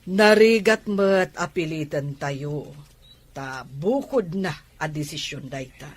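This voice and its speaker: Resigned older parent in a radio drama, husky high voice, slow and reasoning. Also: male voice, animated. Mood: measured, resigned.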